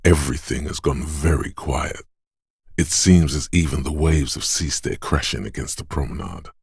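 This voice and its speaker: A game's inner sense of perception, a disembodied male voice that describes every sound it catches. It sits extremely deep and comes out husky and breathy. The delivery is unhurried and close-miked with no room sound. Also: no room sound, full character voice. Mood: hushed observant. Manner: hushed, measured, quietly descriptive. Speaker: voice actor. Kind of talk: game voice lines, second-person narration.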